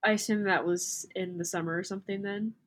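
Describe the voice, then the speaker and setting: A woman's voice: mid-range voice, steady tone, brisk. A young woman asks the questions on a college radio show in a studio. Her voice is stuffy and nasal from allergies. She keeps a friendly interview pace.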